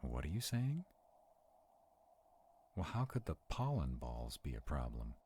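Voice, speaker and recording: A man playing a sarcastic, protective vampire in a roleplay audio drama, wary and quick-witted, talking to unseen companions. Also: very deep voice, big pitch swings, a little mellow, a little hiss or hum, full character voice. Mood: wary, uneasy.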